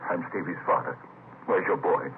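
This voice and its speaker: An actor playing a grief-stricken father in a 1950s radio drama, halting and emotional. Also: low voice, a little hiss or hum, lightly acted. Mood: stunned, demanding. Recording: tinny vintage radio, loud music.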